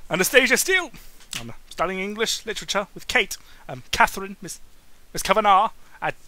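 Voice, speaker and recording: A flustered young female student, as a man puts her on in a comedic audiobook reading. The voice is raised and very bright, with an awkward, nervous stammer. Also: high-pitched, lively intonation, brisk, voice pushed up.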